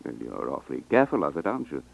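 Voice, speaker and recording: Low-voiced, halting radio-drama customer. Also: male voice, sing-song, gravelly, exaggerated voice. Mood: hesitant. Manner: halting, polite, repeats words. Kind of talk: 1950s radio drama acting. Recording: dull 1950s radio recording.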